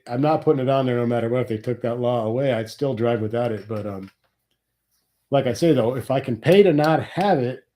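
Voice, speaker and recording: A man's voice: medium pitch, mellow. A middle-aged man from Nebraska, sniffly from a cold, complaining wryly in a rambling live chat with his viewers. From Nebraska, USA. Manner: rambling, wry complaining about taxes. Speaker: livestream host.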